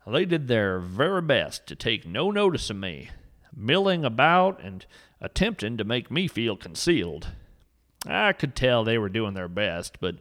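A man's voice: medium pitch, a bit bright. A man narrating a scripted story with dry, mock-serious humor.

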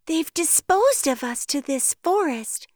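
High-voiced, prim elderly fairy. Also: female voice, expressive, unhurried, darkish tone, no echo, studio quality. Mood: prim, formal. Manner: formal, wordy phrasing. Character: prim, excitable elderly female fairy, fly-sized. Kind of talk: fairytale audio drama acting.

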